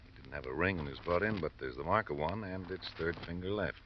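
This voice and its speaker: An American man playing a brisk, wry police lieutenant in a 1950s radio drama, clipped and matter-of-fact. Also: deep voice, lightly acted. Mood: businesslike. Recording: muffled old radio recording, faint hiss.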